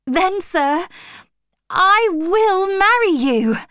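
A woman's voice: sits high, big pitch swings, slow, a little mellow, no room sound, clean studio sound, pitched above the performer's own voice, full character voice. A woman voicing an earnest young governess, polite and pleading but strong-willed, in a storybook reading. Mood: hopeful.

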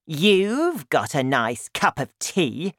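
A woman's voice: sits low, big pitch swings, takes it slowly, dry recording, studio quality, voice pushed up, heavily acted. A woman voicing a bossy, grumbling, greedy old grandmother in a storybook, demanding and scolding. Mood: scolding, indignant.

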